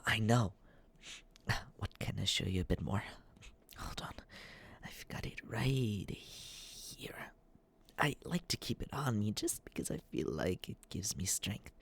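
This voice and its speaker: A man playing a lovesick, obsessive young yandere stalker in an ASMR roleplay, awkwardly sweet and breathy, with halting, nervous delivery and soft asides. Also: lowish voice, full character voice. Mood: eager, adoring.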